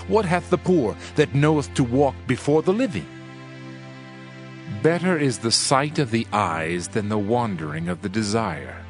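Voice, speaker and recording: A solemn, reflective man preaching ancient wisdom in a dramatized audio Bible. His low voice is measured and declamatory, delivering old King James verse over background music. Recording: music under the voice.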